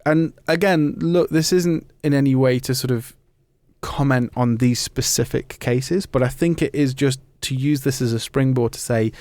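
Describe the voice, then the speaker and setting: Gravelly-voiced man hosting a podcast. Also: medium pitch, moderate pace, darkish tone, no room sound. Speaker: podcast host. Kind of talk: conversational podcast presenting.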